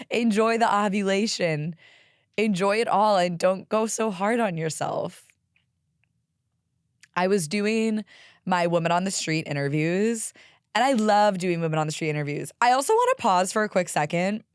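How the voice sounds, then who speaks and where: A young woman with a raspy, hoarse voice talks candidly and with some profanity as the host of a solo podcast. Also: mid-range voice, normal speed, no echo, clean studio sound. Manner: candid, profane, animated with laughs. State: hoarse from karaoke singing.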